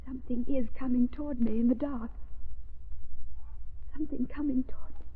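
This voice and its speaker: An actress playing a brave but frightened young woman in a 1930s radio thriller, with halting, dramatic delivery. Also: sits high, steady tone, slightly rough. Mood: mounting dread. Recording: muffled, noisy 1930s radio recording.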